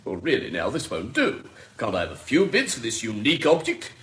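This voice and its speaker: An actor playing a pompous, wheedling auctioneer with a British accent in a radio drama. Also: male voice, medium pitch, huge pitch swings, fairly quick, a bit bright, echoey room. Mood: affronted. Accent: British English. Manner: pompous, salesmanlike patter. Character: theatrical wheedling male auctioneer, easily affronted.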